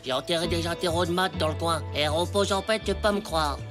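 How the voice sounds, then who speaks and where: An actor playing a cocky, excitable ten-year-old schoolboy in a French cartoon, talking in boastful, slangy exclamations. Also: medium pitch, brisk, music in the background, close to an everyday voice. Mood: sheepish, consoling.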